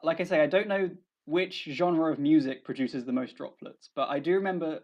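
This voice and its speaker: A man's voice: on the high side, normal speed. A young man with a southern English accent, a researcher guest talking casually, often restarting his sentences in a modest, hesitant way. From south of England. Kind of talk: casual conversation. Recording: dull lo-fi audio.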